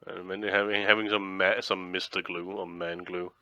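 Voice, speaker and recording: Rambling, swearing man, bright and dry. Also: sits low, little pitch movement, moderate pace, dry recording, dull audio. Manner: rambling, swears often, trails off mid-sentence. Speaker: let's play co-host. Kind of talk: casual gaming chat.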